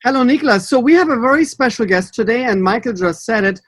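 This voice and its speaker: A man's voice: very high voice, lively intonation, quick pace, a bit bright, husky, no room sound. A man with a German accent, a native German speaker, hosting a podcast in English as a water sommelier, upbeat and chatty. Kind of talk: casual podcast chat.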